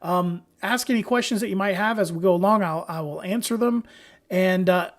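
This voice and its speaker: Man, hoarse voice, upbeat webinar host, casual live tutorial, talking from home. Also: sits high, moderate pace. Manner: chatty and upbeat, talks straight to viewers. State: hoarse from allergies. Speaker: software trainer hosting a webinar.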